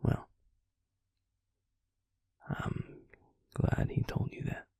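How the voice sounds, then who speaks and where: A man playing a protective, devoted vampire lover in an intimate romantic audio roleplay, speaking gently and unhurriedly in a husky, breathy near-whisper. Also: no room sound. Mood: gentle, apologetic.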